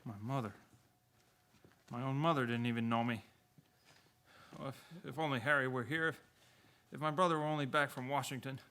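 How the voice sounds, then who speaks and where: A man's voice: medium pitch, fairly even intonation, a little fast, long pauses, pushed and bright, clear, resonant tone, lightly acted. A man playing a desperate, agitated family man who stammers and repeats himself in a radio play. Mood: dazed, pleading.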